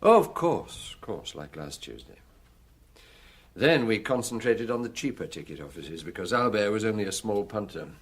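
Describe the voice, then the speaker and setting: Husky, low-voiced British police chief. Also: male voice, big pitch swings, moderate pace, full character voice. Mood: relaxed, thoughtful. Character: seasoned, middle-aged police chief, methodical and gruff. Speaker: actor in a radio drama. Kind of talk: British radio drama acting.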